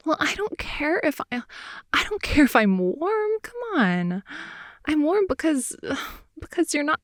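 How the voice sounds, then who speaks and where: A woman improvising an intimate ASMR roleplay as a playful, affectionate girlfriend in bed, speaking softly, often in whispers and sighs, close to the microphone. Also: fairly high pitch, creaky, no room sound. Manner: soft, often whispery, sighing, teasing. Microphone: close microphone, dry intimate sound.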